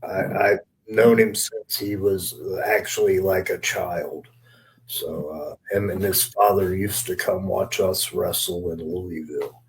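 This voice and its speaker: A middle-aged man chats casually like the veteran wrestler he is, reminiscing at a slow pace. His voice sits fairly low, and he repeats words for emphasis. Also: fairly even intonation, gravelly, low-quality recording. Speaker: veteran wrestler, guest commentator.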